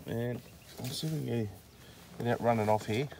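A man in his forties with an Australian accent, narrating a casual vlog in an informal, halting way, recorded outdoors on a handheld phone. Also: medium pitch, vocal fry, warm tone, a little hiss or hum, rough recording. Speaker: farm vlogger. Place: outdoors on a dry rural farm. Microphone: handheld phone at arm's length.

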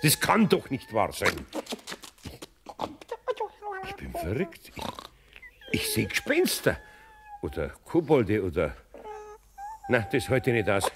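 A man playing a good-natured, bewildered older master carpenter with a husky voice and Bavarian accent, muttering and exclaiming in a radio play. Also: on the low side, huge pitch swings, unhurried, long pauses, background noise, exaggerated voice. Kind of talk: radio play acting for children.

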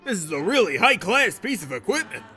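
A man playing an excited, proud police detective in an audio drama, blurting things out emphatically and informally. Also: high-pitched, a little fast, soft background music, full character voice.

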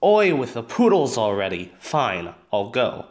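A man's voice: medium pitch, sing-song, unhurried. A man playing a sarcastic, reluctant teenage boyfriend, with dry and skeptical delivery.

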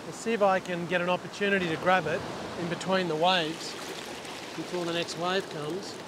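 Aussie guy chatting on a beach about fishing, fairly high voice, surf roaring behind. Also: level tone, normal speed. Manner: chatty, explains while working, restarts sentences. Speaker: fishing channel host. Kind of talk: casual instructional vlog. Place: open ocean beach outdoors, surf. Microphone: clip-on lapel mic. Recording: loud surf and wind noise. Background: crashing waves and wind.

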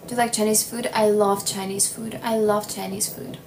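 A young woman in her twenties whose first language is Ukrainian, chatting casually on a phone livestream in a small room, slow and playful with lots of "like". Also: medium pitch, little pitch movement. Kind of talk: casual livestream chat reading comments. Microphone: phone at arm's length. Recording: noticeable background noise.

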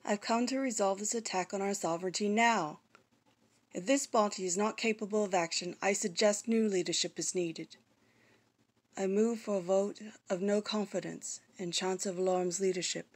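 Young female senator-queen, played by a woman: stern, regal, formal, slightly husky, film drama delivery. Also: medium pitch, moderate pace, lightly acted. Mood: commanding, defiant. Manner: firm, formal, resolute delivery. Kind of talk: fan voice acting audition, film dialogue.

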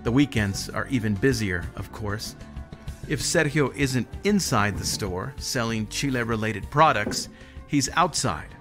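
An American man in his forties hosts a TV feature, reading scripted narration in a mid-range voice. Soft music plays underneath. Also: medium pitch, animated, measured pace. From southern New Mexico, United States. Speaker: host and narrator of a regional TV series.

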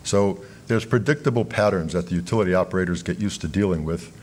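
A middle-aged man giving a keynote talk at a lectern in a large hall, speaking in a measured, explanatory way with fillers and restarts. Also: low voice, slight background noise. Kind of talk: conference keynote lecture.

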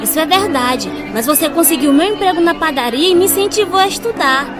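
A woman's voice: very high voice, lively intonation, brisk, over music, a crowd in the background. A woman with a Brazilian accent playing a friendly, grateful young niece in a radio drama. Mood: chatty, earnest.

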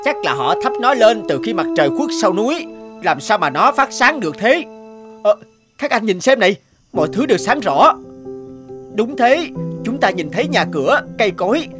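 Eager young adventurer, high and animated. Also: male voice, moderate pace, over music. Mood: amazed. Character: eager young male villager adventurer, earnest and scheming. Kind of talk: animated fairy-tale dialogue.

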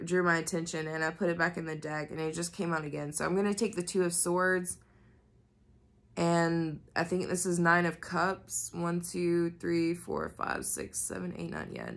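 Brief woman tarot reader, stuffy nasal voice, lowish but bright, chatty and profane. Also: moderate pace, creaky. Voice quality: nasal from a cold. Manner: chatty, swears freely, many fillers. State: coming down with a cold. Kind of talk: casual tarot reading to camera. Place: indoors at a floor-level reading table. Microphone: camera pointed at the cards, speaker off-frame.

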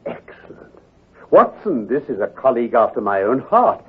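An actor playing a shrewd, coolly sceptical detective, questioning with incisive authority in old-time radio drama style. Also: male voice, mid-range voice, big pitch swings, normal speed. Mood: pleased. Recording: muffled, husky old radio recording.

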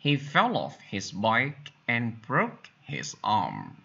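A man with a Vietnamese accent leads an English drill at a very unhurried pace. His voice is bright and moves up and down with lots of expression. The recording sounds a little dull and lo-fi. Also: mid-range voice, wildly swooping pitch, vocal fry, slightly rough. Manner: deliberate, repeats phrases for learners to copy. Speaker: English teacher. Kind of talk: slow bilingual drill, repeating each sentence.

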